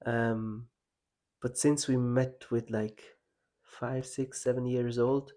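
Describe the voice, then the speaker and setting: Mellow-voiced man, halting and reflective. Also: mid-range voice, level tone. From Switzerland. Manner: reflective, halting, many restarts and fillers. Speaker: podcast host. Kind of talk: casual personal conversation.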